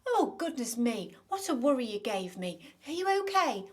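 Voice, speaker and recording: A woman's voice: a little high, very animated, moderate pace, pitched above the performer's own voice. A woman voicing a worried, loving mother fussing over her son in a storybook tale. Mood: fretful. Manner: fretful, fussing.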